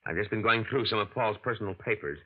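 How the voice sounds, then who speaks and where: A man voices a tense young impostor who is scheming to pass as an heir. His voice sits low and he speaks in a guarded, clipped way. It has the muffled, narrow sound of an old radio broadcast. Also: quick pace, natural voice. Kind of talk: 1940s radio drama acting.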